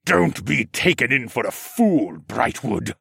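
A towering reptile-man regent, half-blind and consumed by rage, as a male storyteller puts him on. He drags out every threat slowly and bellows it, his delivery swinging widely, recorded close and dry in a storybook telling. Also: medium pitch, sing-song, vocal fry, fairly bright tone, breathy edge, no room sound, pitched above the performer's own voice, exaggerated voice. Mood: scheming. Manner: bellowing, menacing, slow and deliberate.

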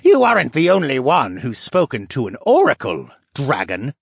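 A man's voice: medium pitch, wildly swooping pitch, normal speed, vocal fry, husky, dry recording, clean studio sound, voice pushed up, heavily acted. A man voicing a bitter, defiant captive merman fisherman in an audiobook, scornful and muttering. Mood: sneering.

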